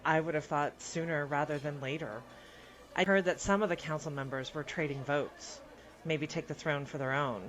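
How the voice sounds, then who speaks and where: A man's voice: fairly high pitch, moderate pace, fairly bright tone, natural voice, low-quality recording. A man playing a humble-seeming but slyly evasive citizen in a fantasy radio news parody, insinuating and then disclaiming. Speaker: interviewed citizen.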